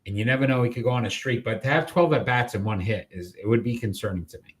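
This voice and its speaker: Chatty Rhode Island sports host. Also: male voice, medium pitch, fast talker. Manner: chatty, trailing off and restarting. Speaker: sports talk show host. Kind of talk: live sports talk show chat.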